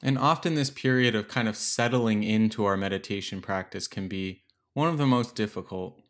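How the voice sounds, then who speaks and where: Male meditation guide, fairly low voice, gentle instructional delivery with spacious pauses.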